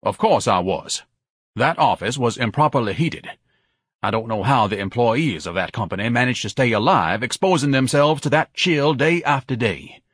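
Man playing a pompous, husky, indignant grown son. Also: mid-range voice, expressive, moderate pace, fairly bright tone, no echo, clean studio sound, voice pushed up. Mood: disdainful. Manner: pompous, over-articulate, haughty declaiming. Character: pompous, indignant, self-pitying grown son, grandiose and melodramatic. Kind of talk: audiobook character voice.

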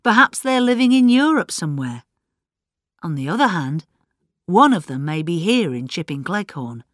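A woman's voice: lowish voice, very animated, moderate pace, dry recording, studio quality. A woman voicing a worried, earnest male police inspector in a storybook reading. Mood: pondering, uneasy.

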